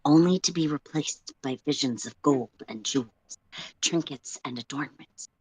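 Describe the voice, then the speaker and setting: Measured, portentous storyteller, closely recorded. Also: medium pitch, husky, dry recording, rough recording. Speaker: livestream co-reader. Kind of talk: dramatic story narration.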